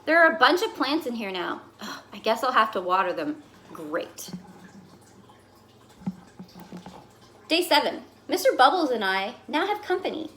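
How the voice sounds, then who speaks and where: Woman reading as a dramatic, fussy pet goldfish, swooping pitch. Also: normal speed, a little hiss or hum. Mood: dry, bemused. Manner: dramatic, exasperated, expressive storytelling. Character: fussy, put-upon pet goldfish telling its diary. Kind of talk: expressive children's picture book read-aloud.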